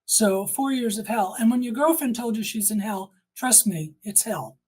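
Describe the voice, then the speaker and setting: Older woman with a husky voice. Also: fairly high pitch, normal speed, warm tone. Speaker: interview guest. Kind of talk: personal testimony in conversation.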